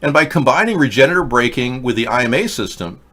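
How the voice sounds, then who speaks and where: A man hosting a political talk show, pitching ideas in an earnest, rambling monologue. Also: expressive, fast talker, bright, forceful tone. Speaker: host.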